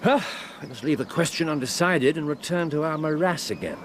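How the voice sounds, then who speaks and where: A man's voice: a little high, animated, a little fast, slight background noise, lightly acted. An actor playing a brilliant, self-assured English detective in British radio drama style, explaining his reasoning aloud and repeating himself. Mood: analytical, assured. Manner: didactic, thinks aloud, exclaims and repeats himself. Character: brilliant, brisk male detective, keenly absorbed and self-assured.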